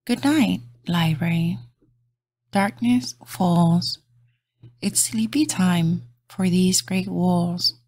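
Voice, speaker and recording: Female children's read-aloud host: gentle, encouraging, slow and expressive, recorded dry and close. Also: on the low side, husky, no room sound. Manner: gentle, sing-song, encouraging.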